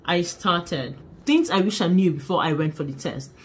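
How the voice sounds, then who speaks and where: Animated young Nigerian woman vlogging. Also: on the low side, moderate pace, a little hiss or hum. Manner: animated, dramatic, laughing, mixing in pidgin. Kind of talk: casual storytelling to camera. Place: small bedroom indoors. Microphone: camera in front at desk distance.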